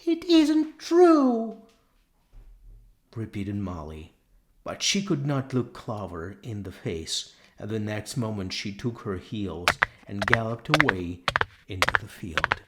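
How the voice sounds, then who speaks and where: A man reading a literary story as an audiobook narrator, with measured, deliberate, dramatic phrasing. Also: fairly low pitch, heavy background noise.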